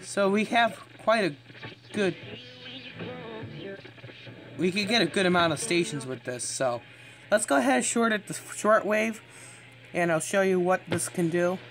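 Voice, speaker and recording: A man's voice: sits high, animated, a little slow, long pauses, a bit bright, soft background music, low-quality recording. A man giving a casual, unscripted show-and-tell as a vlogger, rambling along in a matter-of-fact way. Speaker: vlogger showing an antique radio.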